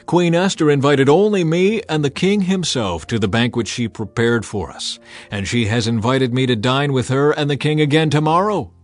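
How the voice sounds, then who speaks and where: A man's voice: medium pitch, normal speed, soft background music, voice pushed up, close to an everyday voice. A man voicing a vain, scheming royal official in a grandiose, emphatic manner for a dramatized audiobook. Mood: boastful, resentful. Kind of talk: dramatized audiobook character acting.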